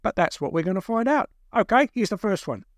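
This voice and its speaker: A man doing a high-voiced, taunting male captor. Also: sing-song, brisk, dry recording, studio quality. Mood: mocking, gleeful. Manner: mocking, teasing interrogator. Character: big, grinning, taunting male captor giving a quiz. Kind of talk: dramatised audiobook dialogue.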